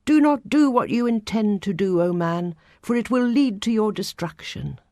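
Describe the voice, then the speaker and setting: Woman acting out an anguished scholar, raised pitch. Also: lively intonation, normal speed, a little mellow, no echo, studio quality, close to an everyday voice. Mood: alarmed, disgusted. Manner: declamatory, formal, agitated. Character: anguished, overwhelmed male scholar seeing hidden thoughts. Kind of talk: audiobook storytelling.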